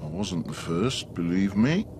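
A man in a radio drama, wry and full of excuses, reasoning aloud as he argues. His pitch is lowish and moves around a great deal, with some noise behind him. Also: animated, unhurried. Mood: rueful. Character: self-justifying man, a wry cheat from a thought experiment.

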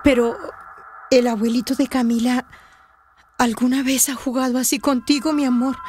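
A woman acting a high-voiced, worried Colombian mother. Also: flat delivery, moderate pace, darkish tone, slight background noise, close to an everyday voice. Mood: gently probing. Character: worried, doubting mother of a small girl. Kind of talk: radio soap opera acting.

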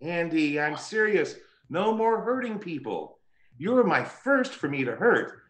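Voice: mid-range voice, sing-song, a little slow. An actor voicing a killer doll who wheedles and pleads to make amends in a dramatic scene over a video call. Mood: insistent, wheedling. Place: indoor room, remote video call. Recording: roomy echoing video-call audio.

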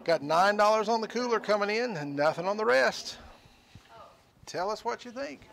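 Gravelly high-voiced older man hawking items. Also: lively intonation, moderate pace, a bit bright, a little hiss or hum. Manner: folksy, joking, describing items one by one. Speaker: auction show host. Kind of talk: casual on-air sales pitch. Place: small studio room with sale items.

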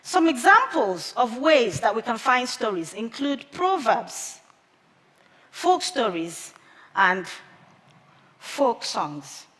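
Slow, measured woman in a big hall. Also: a little high, sing-song, a bit bright. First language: Igbo. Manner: slow, measured delivery with careful pauses. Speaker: conference speaker. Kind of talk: prepared public talk. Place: large theater stage with audience. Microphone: headset mic. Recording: long hall reverb from a big theater.

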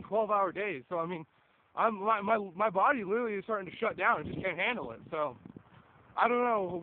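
A tired young man losing his voice, rambling casually while walking outdoors with a phone at arm's length. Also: high-pitched. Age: young adult. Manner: rambling, filler-heavy, swears casually. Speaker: vlogger. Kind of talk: casual vlog. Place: outdoors, walking along a windy suburban road. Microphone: phone held at arm's length, earphone mic. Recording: tinny phone-like sound with wind noise.

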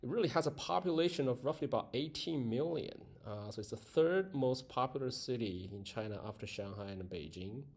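A man narrating a casual travel vlog as he walks through streets, a mall and a subway station, halting with many fillers and self-corrections. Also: medium pitch, lively intonation, a little mellow, husky. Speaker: travel vlogger.

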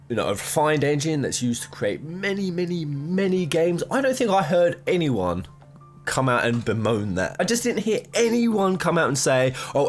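Young guy ranting bout games into a desk mic, voice jumping all over, bit of quiet music behind. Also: mid-range voice. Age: young adult. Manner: animated, rambling, frequent restarts. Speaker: gaming video host. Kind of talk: opinionated commentary to camera. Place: small room, gaming chair, green-screen backdrop.

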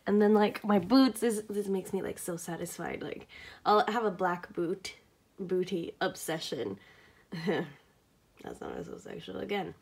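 A woman in her twenties chatting casually to her phone in a small bedroom, full of "like" and little giggles, her voice a bit croaky. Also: lowish voice, animated, measured pace, a little mellow. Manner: chatty, lots of "like", giggly asides. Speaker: vlogger. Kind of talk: casual vlog chat. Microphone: phone held at arm's length.

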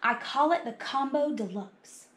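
A woman voicing a proud, cheerful young schoolgirl in a storybook, bright and singsong. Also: high voice, measured pace, echoey room, voice pushed up. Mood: upbeat, proud.

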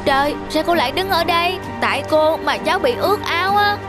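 An actress voicing a spoiled, stubborn little boy in an animated drama, with a whiny, defensive manner. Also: very high-pitched, brisk, loud music behind the voice. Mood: defiant.

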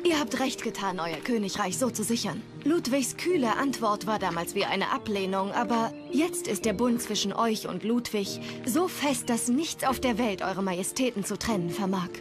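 An actress playing a shrewd, affectionate royal princess with a formal, discreet manner in a dramatized documentary. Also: female voice, mid-range voice, lively intonation, normal speed, hardly any pauses, music in the background, natural voice. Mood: assured, discreet.

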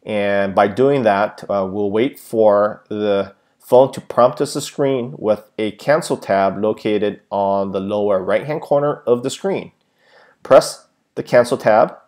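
A man's voice: on the low side, darkish tone. A man giving a plain, methodical step-by-step how-to narration, with frequent filler sounds, as a tech tutorial presenter.

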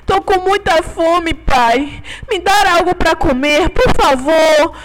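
A woman's voice: very high voice, slow pace, background noise. A woman with a Brazilian accent voicing a timid, lonely, odd-looking baby male dinosaur who pleads and stammers. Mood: pleading. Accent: Brazilian Portuguese. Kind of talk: game roleplay voice acting.

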